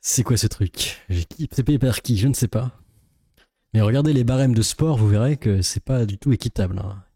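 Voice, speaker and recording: Mellow, sceptical man reacting casually. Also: medium pitch, moderate pace, breathy edge, dry recording, clean studio sound. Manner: sceptical, sarcastic, rambling. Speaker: YouTuber reacting to a video.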